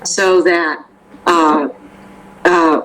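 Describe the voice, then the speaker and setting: A woman's voice: on the low side, flat delivery, very slow, a bit bright, rough recording. An older woman from the Memphis area, a vocal coach talking casually in an interview, rambling with restarts and fillers.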